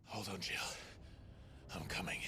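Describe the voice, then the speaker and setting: A man's voice: sits low, unhurried, bright, forceful tone, slight background noise. A man playing a grim, determined fantasy game hero, speaking tersely and intensely. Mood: grim resolve. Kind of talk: dramatic fantasy video game cutscene acting.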